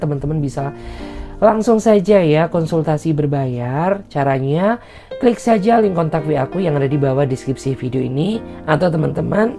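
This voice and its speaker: A woman tarot reader with an Indonesian accent, chatting casually and speaking the feelings of the other person in the first person. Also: low-pitched. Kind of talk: casual tarot reading monologue. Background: soft guitar music.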